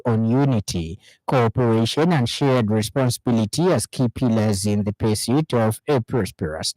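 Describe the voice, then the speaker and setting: A man with a Zambian accent reads the evening radio news from a script. His delivery is formal and measured, at an ordinary male pitch. The sound is dry and close, as in a studio. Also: a little mellow, no echo, rough recording. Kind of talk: scripted news bulletin reading.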